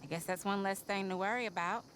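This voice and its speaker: A woman's voice: medium pitch, fairly even intonation, fast, a bit bright, natural voice. An actress playing a plain-spoken, disapproving frontier woman in a video game. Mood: concerned, disapproving. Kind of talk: dramatic video game acting. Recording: noisy background.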